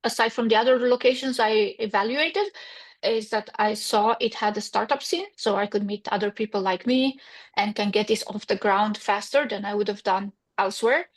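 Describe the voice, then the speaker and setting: A woman's voice: medium pitch, normal speed. A woman from Romania with a Romanian accent, a company founder answering interview questions in a practical way with frequent restarts.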